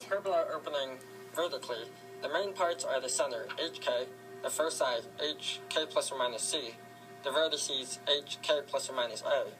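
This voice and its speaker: A man's voice: mid-range voice, poor audio. A young man giving a scripted math lesson, reading it out as a student presenter. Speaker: student presenter in a puppet show. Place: classroom.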